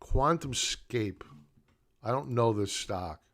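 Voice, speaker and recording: A man's voice: mid-range voice, expressive, slow, a bit bright. Middle-aged man hosting a live stream. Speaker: live stream host, stock market analyst. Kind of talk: unscripted live commentary, chatting to viewers.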